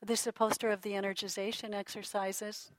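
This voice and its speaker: A middle-aged woman giving a relaxed teaching talk to a class, calm and reflective, often restarting her sentences and laughing softly. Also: mid-range voice, brisk, slight background noise, poor audio. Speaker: lecturer on holistic healing.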